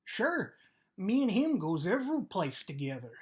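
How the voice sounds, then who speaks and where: A woman playing a simple, trusting male American ranch laborer in a dramatic reading, speaking in earnest, halting rural vernacular. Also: mid-range voice, very animated, natural voice. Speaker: actor.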